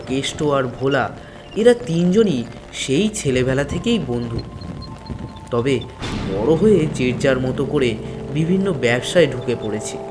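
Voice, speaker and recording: A man reading a scripted village story as its narrator, in storytelling style. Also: mid-range voice. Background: background music.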